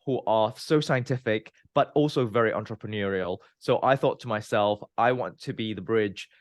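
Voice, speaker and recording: Low-pitched guy from malaysia, scientist on an interview, talks it through steady with lots of ums, dry close sound. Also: no echo. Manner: measured, explanatory, with frequent "um" and "you know". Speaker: guest scientist. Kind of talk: interview, recounting his career.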